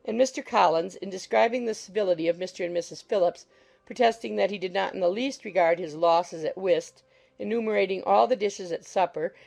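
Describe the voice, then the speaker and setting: A woman's voice: medium pitch, creaky, darkish tone. A woman reading scripted literary narration for an audiobook.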